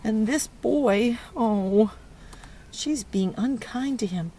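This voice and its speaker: A woman teacher reading a picture book aloud to young children, stopping to ask questions and encourage them in an expressive way. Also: on the high side, takes it slowly. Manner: encouraging, praising child listeners; expressive, exclaiming and prompting. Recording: clear background noise.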